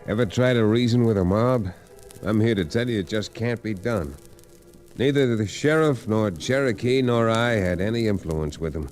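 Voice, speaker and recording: A man acting a steady, reasonable western hero in an old-time radio drama, earnest and folksy. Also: fairly low pitch, normal speed, music in the background, natural voice. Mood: grave narration. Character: steady, reasonable male western hero who narrates his tale.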